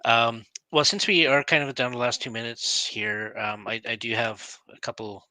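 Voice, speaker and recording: Bright, dry-voiced male online host. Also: medium pitch, fairly even intonation, normal speed, no room sound, low-quality recording. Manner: hesitant, filler-heavy, friendly. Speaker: talk show host. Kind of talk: live online interview hosting.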